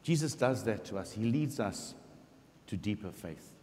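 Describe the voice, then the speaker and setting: Middle-aged parish priest, expressive sermon delivery, long church reverb. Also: male voice, lowish voice, slight background noise. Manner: expressive preaching, voicing scripture quotes, slow emphatic pauses. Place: large church sanctuary before the altar.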